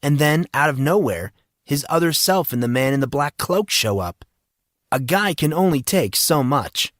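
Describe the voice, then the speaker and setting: A man's voice: medium pitch, fairly bright tone, dry recording, clean studio sound. A man hosting a podcast, giving a breezy, informal scripted introduction. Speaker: podcast host. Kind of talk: casual scripted intro and recap. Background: music.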